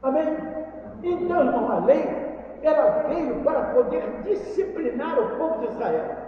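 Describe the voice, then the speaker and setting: High-pitched Brazilian preacher in echoing hall. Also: male voice, lively intonation, normal speed. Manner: emphatic, repeats phrases for effect. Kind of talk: sermon to a congregation. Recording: echoey hall from far off, dull, faint noise.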